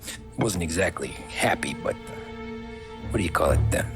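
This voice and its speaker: A low-pitched American mobster from a crime game, talks in plain thirties street slang. He sounds like a weary, loyal family man telling his story, with music clearly playing behind him. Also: animated, normal speed. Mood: reflective. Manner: colloquial 1930s gangster slang. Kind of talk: cinematic game acting, conversational period gangster narration.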